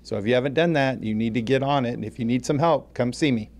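A man's voice: mid-range voice, fast talker, darkish tone. An American man in his fifties explaining things informally as an interviewed school counselor. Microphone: handheld interview microphone.

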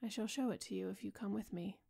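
A woman's voice: medium pitch, fast talker, creaky, dark tone, breathy edge. A woman reading the part of a proud, insolent young man who mocks his older friend, speaking in a hard, scornful way. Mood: cold.

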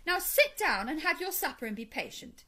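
Woman playing a male water rat, fussy, sensible, chiding, fairly high, lively intonation, storybook. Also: normal speed, husky, full character voice. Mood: scolding.

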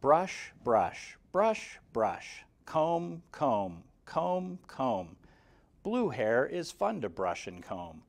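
Lively, unhurried man reading a children's rhyme. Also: medium pitch. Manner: expressive, measured, sing-song delivery with clear emphasis. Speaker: guest story reader for kindergarteners. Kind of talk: reading a rhyming children's picture book aloud. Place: indoor room, seated in front of a banner. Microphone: lapel mic.

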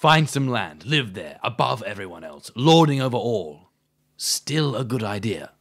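A man's voice: medium pitch, big pitch swings, unhurried, a bit bright, exaggerated voice. An actor playing a vain, self-important but polite insect-man leader with grand ambitions in an audio drama.

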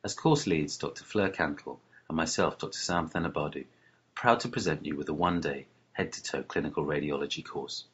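A man who is a doctor and course lead reads a scripted promotional narration in a formal, measured manner. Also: a bass voice, fairly bright tone, a little hiss or hum. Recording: muffled lo-fi voice-over.

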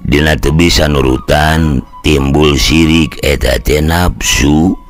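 A middle-aged man with a Sundanese accent telling a scripted story in an unhurried, folksy way. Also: extremely low pitch, fairly even intonation. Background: faint music.

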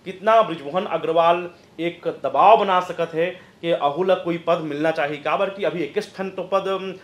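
Male political commentator, 30s, Chhattisgarhi accent, higher voice, halting explanations, roomy studio. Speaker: news presenter and political analyst. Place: indoor news studio, roomy sound. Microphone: lapel mic.

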